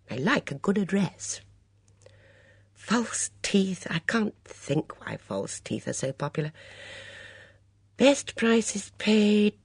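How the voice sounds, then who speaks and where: Casting note: fussy, gossipy middle-aged mother, as read by a woman, lively sing-song delivery, husky tone. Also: on the low side, no echo, studio quality, voice pushed up, full character voice. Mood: chattering. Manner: prattling, disapproving asides.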